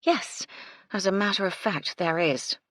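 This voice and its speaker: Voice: medium pitch, a little slow, sharp tone, no echo, studio quality, pitched above the performer's own voice. A narrator voicing a gracious, shrewd woman who owns a hotel.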